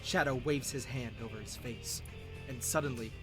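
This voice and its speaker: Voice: medium pitch, moderate pace, close to an everyday voice. A narrator reading a comedic fan dub script as dramatic storytelling. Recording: music behind the voice.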